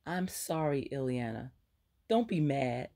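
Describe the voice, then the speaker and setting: Subdued, quiet young woman surgeon, sad and reckless, slow delivery, voiced by a reader. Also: mid-range voice, animated, gravelly. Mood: apologetic.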